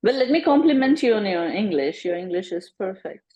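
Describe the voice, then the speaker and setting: A woman psychologist as an interview guest, explaining her work in a relaxed way with everyday comparisons and often checking with "right?". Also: mid-range voice, a little fast, muffled recording, over a noisy crowd. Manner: explains with analogies, tags "right?". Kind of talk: casual interview chat.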